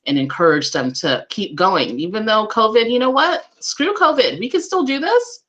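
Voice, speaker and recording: A woman's voice: fairly high pitch, animated, moderate pace, a bit bright. A woman hosting a casual radio interview, speaking in an encouraging way. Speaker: radio show host.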